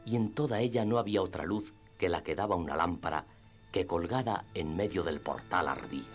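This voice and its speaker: A man narrating classic literature in radio theatre style, with expressive storytelling and deliberate phrasing. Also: low voice. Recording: music under the voice. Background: background music.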